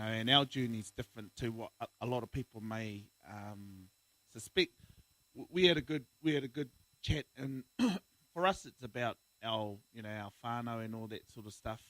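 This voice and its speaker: Casting: young New guy, pumped-up laughing banter, mid-pitched voice. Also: fairly bright tone, no room sound, rough recording. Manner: laughing, hyped-up banter with slang like "bro". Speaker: guest. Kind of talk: casual podcast interview.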